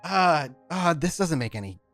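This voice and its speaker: A man's voice: fairly high pitch, very animated, moderate pace, fairly bright tone, faint music behind. Casual man narrating as storyteller. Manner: casual, filler-heavy narration. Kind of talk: narrating a tabletop game.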